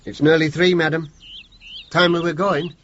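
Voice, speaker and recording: An actor playing a polite, hesitant but shrewd British chauffeur in a radio play. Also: male voice, fairly high pitch, flat delivery, normal speed, fairly bright tone. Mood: gentle. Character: deferential but shrewd working man, an elderly lady's chauffeur. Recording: dull radio recording, faint noise.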